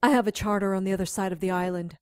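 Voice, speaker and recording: A woman acting a cocky, sharp-tongued armed rescuer in an audio drama. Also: medium pitch, rapid-fire, clear, resonant tone, dry recording, clean studio sound, close to an everyday voice.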